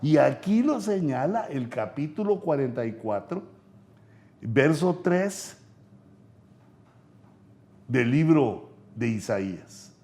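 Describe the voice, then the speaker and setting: A man preaching a sermon, going up in pitch when he quotes scripture, with frequent "eh" fillers. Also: sing-song, slow, long pauses, mellow. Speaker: pastor. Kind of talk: sermon Bible teaching.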